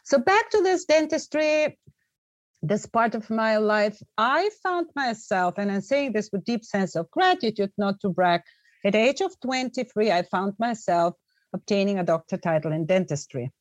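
A woman's voice: medium pitch, expressive, normal speed. A middle-aged woman speaks as a podcast guest. Her words are reflective and earnest, and she often restarts a phrase before she goes on. Her voice sits in a middle register and sounds dry and close, with no room echo. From Bulgaria. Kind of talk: conversational storytelling.

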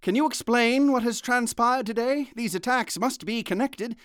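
Vintage radio drama anchorman: high-pitched, crisp, grave and formal, close dry studio. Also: fairly bright tone, no echo. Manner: grave, formal, deliberate announcing. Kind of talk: vintage radio newscast acting.

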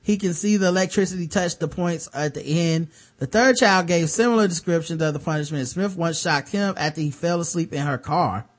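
Male podcast host, light higher voice; reads news aloud, dry sarcastic cutaways. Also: fairly quick, few pauses. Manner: wry, sarcastic asides between read-out passages. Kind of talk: casual chat, reading news stories aloud.

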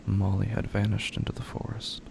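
Very gravelly, low-voiced male narrator. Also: monotone, fairly quick, noisy background. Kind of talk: scripted horror narration.

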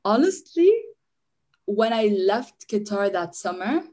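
A woman's voice: mid-range voice, sing-song, slow pace, warm tone. Chatty young woman, casual and rambling. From Syria. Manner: chatty, restarts often, says "like" a lot. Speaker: guest. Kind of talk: casual interview.

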